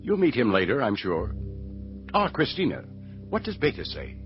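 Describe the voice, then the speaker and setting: A man playing a calm, courteous, authoritative rebel general and father in a science fiction radio drama. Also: medium pitch, moderate pace. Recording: dull lo-fi audio with music behind.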